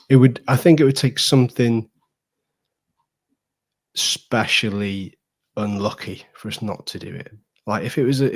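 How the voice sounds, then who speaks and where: Low-pitched man, emphatic football pundit. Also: husky. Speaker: football podcast guest, fan pundit. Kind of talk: casual opinionated football chat.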